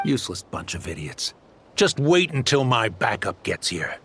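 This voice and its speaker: An actor playing a callous, dismissive crime boss in a video game, full of contempt. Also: male voice, lowish voice, big pitch swings, moderate pace, fairly bright tone, a little hiss or hum. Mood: scornful, defiant. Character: callous, scornful male crime boss and betrayer. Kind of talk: dramatic video game villain acting.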